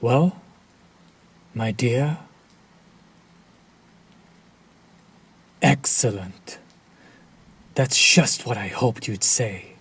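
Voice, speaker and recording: Man voicing a husky, unhurried, sadistic vampire lord. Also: medium pitch, lively intonation, fairly bright tone, exaggerated voice. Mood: commanding, smug. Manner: silky menace, taunting, formal and theatrical. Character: sadistic, possessive centuries-old male vampire lord. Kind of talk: intimate audio roleplay drama addressed to the listener.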